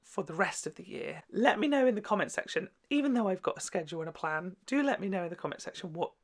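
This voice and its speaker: A woman's voice: lowish voice, animated, fast. A woman hosting a casual, gushing and sometimes sweary reaction commentary on children's television. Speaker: video host reviewing children's TV.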